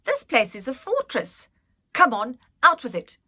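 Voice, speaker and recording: An old royal nanny from a storybook , acted out by a woman reader. She is brisk and bossy but protective, speaking emphatically in a bright, animated voice. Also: high-pitched, pitched above the performer's own voice, full character voice. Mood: stern, scolding.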